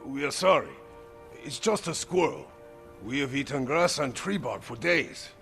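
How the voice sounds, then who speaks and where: A man's voice: medium pitch, animated, moderate pace, gravelly, fairly bright tone, soft background music. An actor playing a starving, frightened peasant man in a video game, pleading meekly and deferentially. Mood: fearful pleading. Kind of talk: dramatic video game acting.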